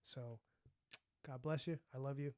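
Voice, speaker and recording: A man's voice: mid-range voice, steady tone, unhurried, darkish tone, no room sound, clean studio sound. A young man with a light, youthful voice, chatting casually on a livestream and rambling with lots of fillers. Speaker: streamer and comic artist.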